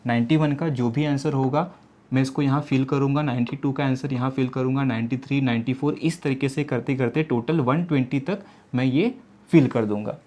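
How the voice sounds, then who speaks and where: A man's voice: medium pitch, brisk, mellow. A young man, an exam coaching teacher, explaining step by step in a tutorial and asking himself rhetorical questions as he goes. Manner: repeats rhetorical questions, mixes English words into Hindi.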